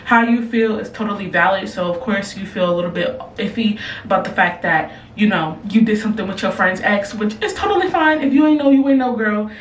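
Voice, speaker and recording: A woman's voice: medium pitch, fairly quick, few pauses, far from the mic, a little hiss or hum. Casting: young woman, frank and slangy advice vlogger, a bit off-mic, roomy room tone. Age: young adult. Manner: blunt, slangy, animated reactions. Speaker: advice vlogger reading submissions. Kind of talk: casual advice chat. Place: room at home. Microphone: camera, later phone.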